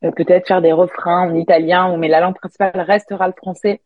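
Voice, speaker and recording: A woman's voice: on the low side, little pitch movement, fast talker, no echo, narrow, tinny audio. A woman singer and songwriter, a native French speaker, chatting casually in an interview with frequent restarts. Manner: chatty, many restarts and fillers.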